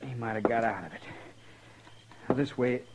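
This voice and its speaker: An actor playing a fatally wounded young outlaw in a radio Western, speaking haltingly in a weak, labored rustic drawl, bitter but resigned. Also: male voice, medium pitch, close to an everyday voice. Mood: weary, resigned. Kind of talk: old-time radio Western acting. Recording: muffled, noisy old radio recording.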